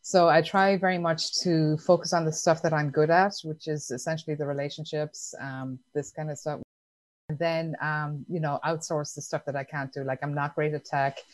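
A woman with an Irish accent, chatting as a guest in an interview, laughing easily and filling pauses with "um" and "like". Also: sits low, little pitch movement, dry recording. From Ireland. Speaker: guest entrepreneur. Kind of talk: casual interview answers.

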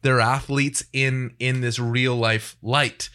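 A man's voice: medium pitch, level tone, slow pace, sharp tone, clear, resonant tone. A man hosting a casual studio podcast conversation in a joking, self-deprecating way. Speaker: podcast host. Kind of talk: casual podcast interview chat.

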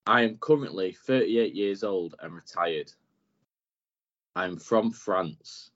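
A man's voice: deep voice, slow pace, a bit bright. A man running a football quiz on a podcast, laid-back, reading clues plainly and chuckling often. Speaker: podcast quizmaster. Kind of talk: casual quiz chat. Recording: dull lo-fi podcast audio.

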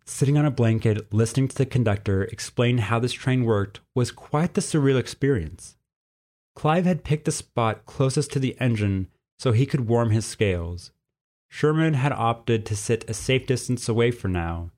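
A man's voice: medium pitch, lively intonation, normal speed, a little mellow. A man reading a story aloud as narrator in a scripted storybook style.

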